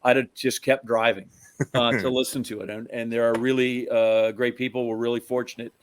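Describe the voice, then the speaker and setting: A middle-aged man co-hosting a sports podcast, chatting casually with strong opinions and rambling, halting phrasing. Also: medium pitch, little pitch movement, slight background noise.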